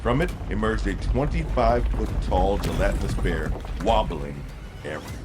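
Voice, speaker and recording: Deep voiced guy narrating fantasy story, very animated, music underneath. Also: normal speed. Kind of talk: dramatic scripted fantasy narration.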